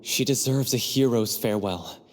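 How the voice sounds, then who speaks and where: Game role: serious stage troupe man, played by an actor. Medium pitch, composed, earnest, hall reverb. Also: moderate pace, a little hiss or hum, close to an everyday voice. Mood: solemn. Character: serious, practical male theater troupe member. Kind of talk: video game voice acting.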